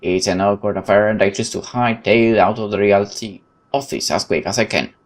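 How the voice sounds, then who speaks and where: Man, let's play narrator, lowish voice, heavy creak, very varied intonation, expressive reading. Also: a little fast. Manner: expressive, reads game text aloud with interjections. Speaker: gaming video host.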